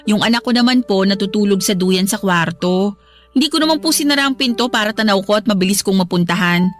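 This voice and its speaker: A woman's voice: medium pitch, quick pace, faint music behind, natural voice. A woman playing a young, meek daughter-in-law with a Filipino accent, politely defending herself in a Tagalog radio drama. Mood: flustered, polite. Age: young adult. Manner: polite, apologetic, protesting.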